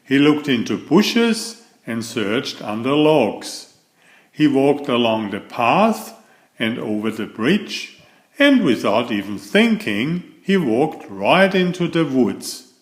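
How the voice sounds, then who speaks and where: Slow, lively male storyteller in echoey room. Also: medium pitch. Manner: expressive, deliberate, simple storybook delivery. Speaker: storyteller reading a picture book. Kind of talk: bedtime story read-aloud.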